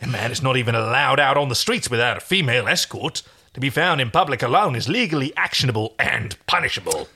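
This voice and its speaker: A man's voice: medium pitch, lively intonation, quick pace, sharp tone, husky, heavily acted. A man voicing a brash, lewd alien saleswoman who haggles pushily and flirts with customers. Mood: stern, shocked. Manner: flirty, pushy, calls people honey.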